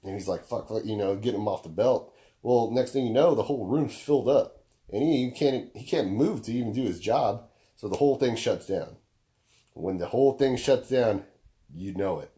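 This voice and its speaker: A young man with a deep baritone voice, talking casually on a podcast, laid-back and swearing freely as he explains through long stories. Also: normal speed, warm tone. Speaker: podcast co-host.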